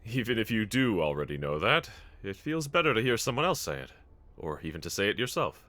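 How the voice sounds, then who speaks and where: A man playing a brave, tender young swordsman in an anime audio roleplay, speaking gently and earnestly to a companion in formal phrasing. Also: lowish voice, very animated, a little fast, no echo. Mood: gentle, reflective.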